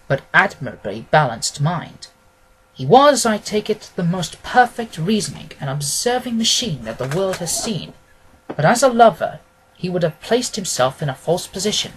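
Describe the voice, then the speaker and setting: A man narrating a classic detective story as an audiobook, reading in a measured, formal, expressive way. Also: high voice, slightly rough, rough recording.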